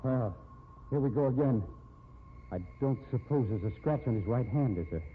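A man's voice: mid-range voice, lively intonation, normal speed, natural voice. An actor playing a resigned, sardonic man accused of murder in a 1950s radio mystery. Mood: bitter sarcasm. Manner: sardonic, fatalistic. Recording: tinny, noisy old radio recording.

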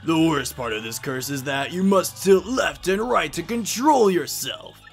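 Man as mocking demon villain, theatrical, gleeful, animated pitch, game dub. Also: measured pace, vocal fry, faint music behind. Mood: gleeful taunting.

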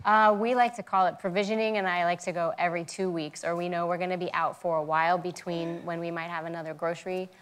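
A woman with a fairly low voice tells family stories as a guest on a TV studio couch. She recounts her anecdotes with lively, chatty energy and speaks into a clip-on mic. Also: quick pace, hardly any pauses, clear, resonant tone. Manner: storytelling, animated anecdotes. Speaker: guest, mother of five. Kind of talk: casual talk show interview.

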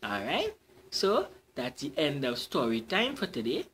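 An expressive man telling a folktale to children, pausing to repeat the important parts. He speaks very slowly with a lively, sweeping melody, and a faint hiss sits behind him. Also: on the high side. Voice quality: expressive, repeats key points. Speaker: children's storyteller. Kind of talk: folktale reading. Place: library storytime.

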